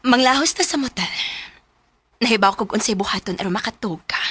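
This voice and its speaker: A woman's voice: on the high side, fairly quick, sharp tone, slightly rough. A woman playing a sweet, forward young girlfriend in a radio drama. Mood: concerned, coaxing. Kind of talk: radio drama acting in Cebuano.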